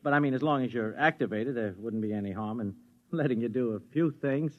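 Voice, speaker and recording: An actor playing a hesitant, easily flustered suburban husband, stammering and protesting in a 1950s radio drama. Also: male voice, medium pitch, brisk, natural voice. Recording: dull vintage radio recording.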